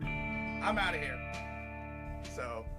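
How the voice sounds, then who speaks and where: A man hosting an audio play podcast, reading his own writing theatrically with a halting, dramatic delivery. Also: fairly high pitch, expressive, over loud music.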